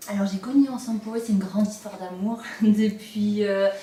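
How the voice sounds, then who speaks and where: A woman's voice: medium pitch, fairly even intonation, normal speed, dark tone. A woman in her thirties talking informally in a testimonial interview. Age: 30s. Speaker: volunteer, former nurse. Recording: roomy, distant mic, faint noise.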